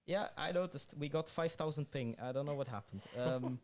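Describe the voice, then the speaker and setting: A man's voice: mid-range voice, normal speed, a little mellow, slight background noise. Stammering young male gaming podcast host. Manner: hesitant, stammering, lots of fillers. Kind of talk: casual gaming podcast chat.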